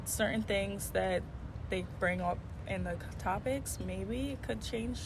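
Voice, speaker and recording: A young woman in her twenties talks casually, working out her thoughts with lots of fillers. Her pitch is middling. Loud street traffic fills the background. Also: slow, creaky. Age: 20s. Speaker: voter interviewed on the street.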